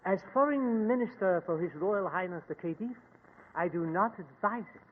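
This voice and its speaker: A man playing a stern, formal Egyptian foreign minister in an old radio drama, grave and measured. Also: high-pitched, animated, a little hiss or hum. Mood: grave, warning. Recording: tinny narrow-band old radio recording.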